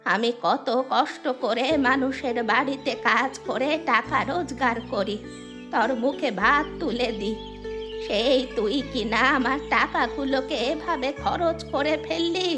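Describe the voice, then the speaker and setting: A woman playing a fretful, scolding village wife and mother in an animated story. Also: high-pitched, unhurried. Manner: scolding, questioning. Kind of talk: animated story dialogue acting. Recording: music under the voice.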